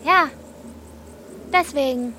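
A woman's voice: high-pitched, slow pace, bright, forceful tone, a little hiss or hum, exaggerated voice. A woman playing a blunt, wary thirteen-year-old village girl in a radio drama, with terse replies. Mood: blunt, needling.

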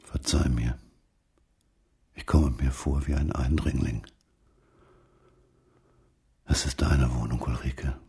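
An actor playing a grieving, haunted and determined man in an intimate, measured radio drama monologue. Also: rumbling low, steady tone, warm tone, slightly rough, exaggerated voice. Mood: grieving, subdued.

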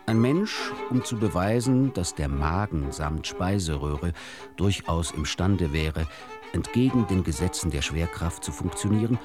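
A man narrating literary short stories for radio in a theatrical, deliberate storytelling manner. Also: low-pitched.

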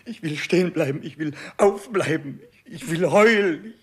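A man's voice: on the high side, lively intonation, normal speed, slightly rough. An actor playing a dying old king in a 1960s radio play, terrified, self-pitying and childishly stubborn, wailing and pleading theatrically. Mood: defiant wailing.

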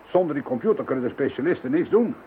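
A senior police officer, played by a man, measured, dry and kindly in his authority. His mid-pitched voice comes through a narrow-band radio drama recording with a little noise. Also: lively intonation, natural voice. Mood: earnest, dry. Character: dryly humorous senior police officer, commanding yet kindly.

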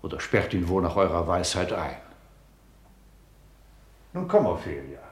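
An actor playing an officious, scheming older courtier in a classical radio tragedy, fussy and self-important. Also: male voice, low voice, huge pitch swings, a little slow, heavily acted. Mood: brisk, advising. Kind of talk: classical stage tragedy in German radio drama. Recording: roomy, reverberant studio sound.

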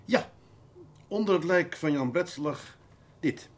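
A man playing a shrewd, seasoned police detective in a radio drama, speaking deliberately with thoughtful hesitations in a fatherly way. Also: a little high. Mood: musing, shrewd. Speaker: actor in a detective radio play. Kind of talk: naturalistic radio drama dialogue. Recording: audible background noise.

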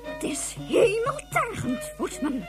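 A woman's voice: extremely high pitch, wildly swooping pitch, takes it slowly, over loud music, exaggerated voice. An actress playing an indignant, emphatic woman of authority in a science fiction radio play.

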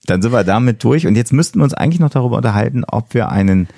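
A man co-hosting a podcast in a casual, chatty style, often pausing as he searches for phrasing. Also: mid-range voice, lively intonation, gravelly, dark tone. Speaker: podcast co-host.

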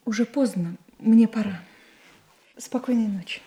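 A demure young bride-to-be played by a woman, soft and resigned, with a mellow, fairly high voice. It is radio drama in an echoey space. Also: unhurried, lightly acted. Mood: wistful. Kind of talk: radio play acting. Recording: echoey room.

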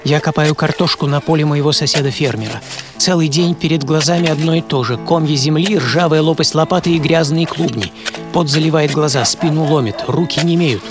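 An actor playing an earnest, dreamy storyteller who recalls a poor boyhood, in a theatrical, reflective radio monologue. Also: male voice, a little high, fairly quick, natural voice. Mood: weary. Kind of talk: dramatic first-person radio monologue. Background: music.

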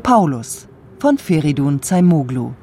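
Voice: medium pitch, big pitch swings, unhurried, noisy background. An announcer reading the closing cast credits of a radio drama in a scripted, list-like delivery.

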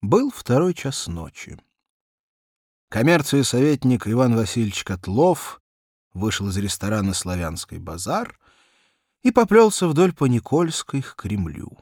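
A man reading literary narration for an audiobook in a measured storytelling manner. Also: mid-range voice, big pitch swings, a little mellow, no room sound, clean studio sound.

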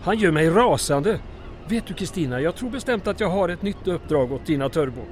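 Actor as indignant townsman, higher male voice. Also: animated, faint music behind, natural voice. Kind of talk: old-time radio drama acting.

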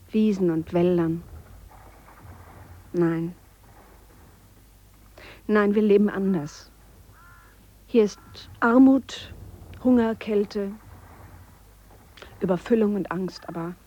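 An actress playing an earnest, reflective Swiss woman biologist who speaks a measured monologue in a radio play. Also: medium pitch, dark tone, lo-fi sound, lightly acted. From Switzerland. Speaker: biologist character. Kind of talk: radio drama monologue, spoken letter.

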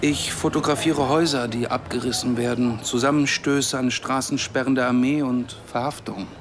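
An actor playing an earnest, idealistic and sardonic Palestinian academic and activist in a radio drama. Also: male voice, mid-range voice, fairly even intonation, moderate pace, slight background noise, natural voice. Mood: matter-of-fact.

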